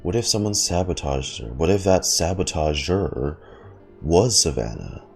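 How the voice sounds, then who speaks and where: Super deep voiced streamer reacting to a game, slangy and rambly, lively pitch, music behind. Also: measured pace. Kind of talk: casual reaction commentary.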